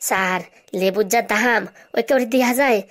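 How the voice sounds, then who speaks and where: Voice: medium pitch, a little fast, fairly bright tone, natural voice. A performer voicing a brazen, glib schoolboy in a comedy cartoon. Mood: cheeky, confident. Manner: glib, answers back with absurd confidence. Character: cheeky, brazen schoolboy with buck teeth.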